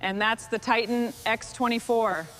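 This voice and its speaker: A woman's voice: a little high, fairly even intonation, unhurried, a bit bright, a little hiss or hum, poor audio. A woman from a restoration crew talking in short, terse workshop remarks.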